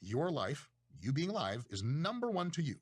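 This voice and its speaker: A man's voice: a little high, sing-song, moderate pace, slightly rough, dry recording. A man from Russia, giving a casual, rambling talk on philosophy in a profane, self-mocking way. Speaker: video creator. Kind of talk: casual monologue lecture.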